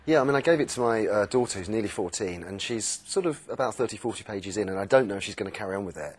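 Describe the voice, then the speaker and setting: Low, husky middle-aged male panelist. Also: quick pace. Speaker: book discussion panelist. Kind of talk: thoughtful book review discussion.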